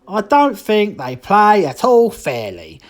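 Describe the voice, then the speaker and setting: A woman's voice: mid-range voice, huge pitch swings, slow. A woman voicing a young storybook girl, polite but outspoken and given to complaint. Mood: complaining. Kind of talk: storybook character reading.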